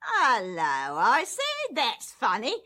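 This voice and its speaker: A woman's voice: on the high side, huge pitch swings, slow pace, sharp tone, heavily acted. A woman playing an indignant, nosy working-class English landlady who bosses and scolds garrulously in a radio drama. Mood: self-righteous, gossipy. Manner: scolding, garrulous, self-righteous.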